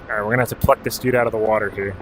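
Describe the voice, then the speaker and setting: A man's voice: lowish voice, rapid-fire, slight background noise, poor audio. A man from Alaska streaming a helicopter game, chatting casually while calling out directions and ranges. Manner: relaxed and profane, calling out clock directions and ranges. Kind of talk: casual gaming livestream commentary.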